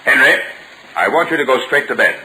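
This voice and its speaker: A man's voice: medium pitch, animated, a little fast, pushed and bright. An actor playing a stern, exasperated middle-aged father who questions firmly, in a 1940s radio comedy on an old recording. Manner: firm, questioning, authoritative. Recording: noisy vintage 1940 radio broadcast recording.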